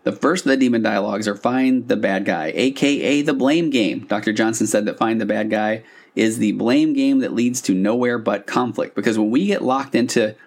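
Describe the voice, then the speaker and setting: A man's voice: lowish voice, normal speed. A man talking informally and animatedly as a podcast host, explaining things like a couples therapist into a microphone. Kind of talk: conversational solo podcast explainer. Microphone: podcast microphone on a stand.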